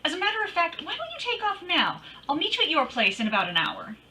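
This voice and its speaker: A woman's voice: extremely high pitch, wildly swooping pitch, quick pace, bright, forceful tone, heavily acted. A woman playing a bold, wisecracking private detective in an old-time radio drama, with a breezy, teasing, wry delivery. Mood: breezy, sly. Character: bold, wisecracking female private detective narrating her case.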